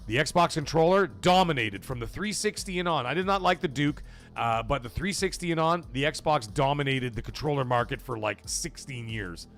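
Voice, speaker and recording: A man hosting a gaming livestream, reading super chats aloud and giving emphatic, profane opinions, swinging loud for donation shout-outs. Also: medium pitch, lively intonation, normal speed, faint music behind. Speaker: livestream host.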